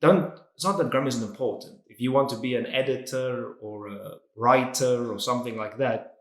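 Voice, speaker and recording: A man's voice: medium pitch, moderate pace. Chatty male interview host, echoey room. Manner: chatty, opinionated, often starts questions with "so". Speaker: interview host, English teacher. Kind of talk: casual interview, educational.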